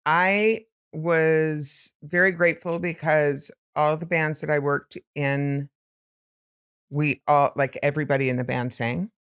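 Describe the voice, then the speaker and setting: A woman podcast host with a very low voice, chatting easily and talking in quick bursts. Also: fairly even intonation, fairly bright tone, smooth. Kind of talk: casual podcast interview.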